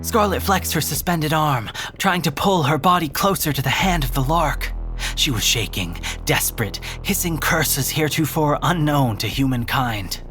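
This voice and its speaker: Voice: medium pitch, expressive, moderate pace, fairly bright tone. A narrator reading scripted, descriptive prose for an audio drama. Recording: music behind the narration.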